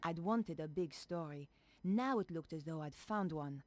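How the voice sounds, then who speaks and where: A woman's voice: deep voice, expressive, unhurried, a little mellow, soft background music. An actress playing a determined young woman journalist in a video game. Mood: pensive. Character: young female investigative journalist, earnest and determined. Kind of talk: video game voice acting, narration and dialogue.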